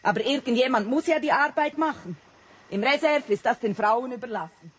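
An overworked, sardonic wife of a hotel keeper in a radio drama. Her voice is fairly high with a slightly rough edge. She complains bitterly, a little away from the microphone. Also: lively intonation, fairly quick, recorded from a distance. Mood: exasperated, bitter. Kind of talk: radio play acting.

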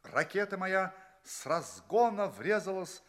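An actor playing an earnest, polite space traveller who recounts his adventures in theatrical audio play narration, with dramatic pauses. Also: high voice, animated, fairly bright tone. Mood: wry storytelling.

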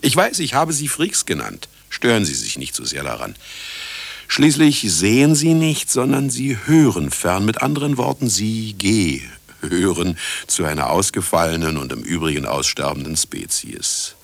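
A sardonic, grandiose broadcaster in a radio drama, lecturing the listeners. His deep voice is gravelly and swoops theatrically from line to line, mocking as it goes. Some ambient noise sits under the speech. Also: big pitch swings, normal speed, no echo, full character voice. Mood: indignant, mocking. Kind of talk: radio play monologue.

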